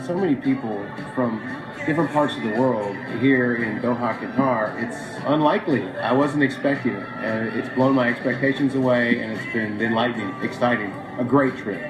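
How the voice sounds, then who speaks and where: A man's voice: mid-range voice, moderate pace. A man giving a reflective spoken testimonial in a recorded video. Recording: roomy echo, loud music underneath. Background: loud music.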